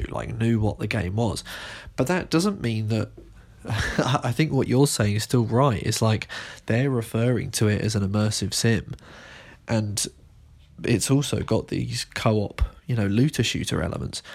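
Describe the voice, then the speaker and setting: A man co-hosting a podcast, chatting informally about video games and qualifying his opinions as he goes. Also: medium pitch. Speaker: podcast host, game reviewer.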